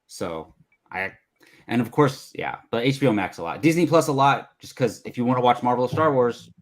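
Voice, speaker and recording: A man's voice: medium pitch, animated, brisk. A man chatting casually as a panelist on a movie talk show. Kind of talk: casual chat.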